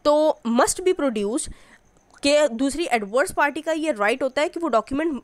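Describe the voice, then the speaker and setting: Female tutor for explanatory lecture: slightly high pitch, very dry recording, didactic, switches into English for terms and read-out text. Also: lively intonation, a little slow, dry recording. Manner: didactic, mixes Hindi-Urdu with English terms, repeats check phrases. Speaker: law tutor.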